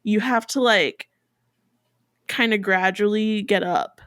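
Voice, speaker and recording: A woman's voice: mid-range voice, takes it slowly, fairly bright tone, no room sound. Chatty young woman, dry close mic. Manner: chatty, many fillers, laughs often. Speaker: podcast co-host. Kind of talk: casual chat.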